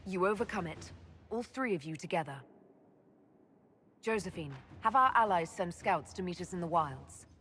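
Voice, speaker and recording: An actress playing a resolute leader of a fantasy army in a video game, speaking in a firm, commanding manner. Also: female voice, on the low side, animated, moderate pace, fairly bright tone, soft background music. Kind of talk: dramatic video game acting.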